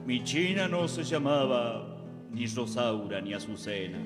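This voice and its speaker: A man's voice: mid-range voice, expressive, unhurried. A man with an Argentine accent reciting humorous gauchesque verse as theatrical, rhymed storytelling. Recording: long reverb, guitar music behind.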